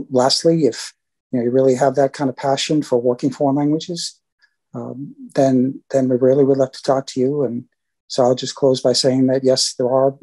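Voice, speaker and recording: A man, a government language advisor, giving the closing remarks of a presentation in a halting way, with many fillers. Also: medium pitch, mellow, rough recording.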